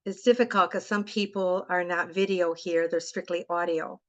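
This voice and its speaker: A woman's voice: lowish voice, steady tone, normal speed. A woman hosting a webinar on a video call, prompting the speakers in a friendly way and laughing often. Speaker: webinar host. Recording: slightly muffled video call audio.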